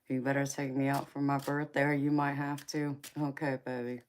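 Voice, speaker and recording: Southern woman in her forties with a twang and a very deep voice, hosting a tarot livestream and calling viewers honey. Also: level tone, normal speed, darkish tone, a little hiss or hum. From Georgia, US. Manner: affectionate, calls viewers baby and honey, laughs often. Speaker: tarot reader livestream host. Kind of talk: casual live chat reading comments.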